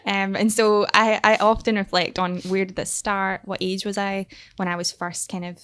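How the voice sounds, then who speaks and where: A woman's voice: medium pitch, flat delivery, normal speed, fairly bright tone, clear, resonant tone. A young woman with a Scottish accent speaks as a guest on a podcast. She laughs often and talks loosely, full of fillers and restarts. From Scotland. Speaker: startup founder and guest. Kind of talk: casual podcast interview.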